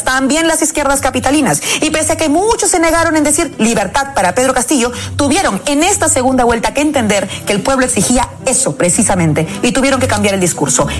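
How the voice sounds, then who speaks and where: A Peruvian woman with a Peruvian Spanish accent giving an impassioned, rhetorical political editorial in a rallying tone. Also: fairly high pitch. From Peru. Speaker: political commentator. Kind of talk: scripted political editorial over music. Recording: music bed underneath.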